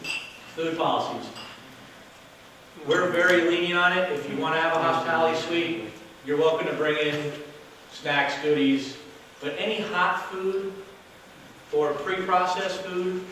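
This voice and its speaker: Loud presenter in a very echoey room. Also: male voice, on the high side, moderate pace. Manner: loud projecting voice, no microphone; casual, chatty, with fillers. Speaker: hotel sales director. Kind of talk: workshop presentation to a live audience. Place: meeting room with an audience. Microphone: distant camera, no microphone. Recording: very echoey room from far off with noise.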